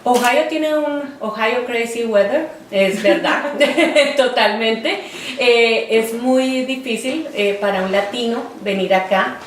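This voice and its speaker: Colombian woman, distant and roomy. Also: a little high. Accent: Colombian Spanish. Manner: reflective, halting, with many fillers. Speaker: interviewee, artist. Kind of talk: oral history interview. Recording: roomy, distant mic, faint noise.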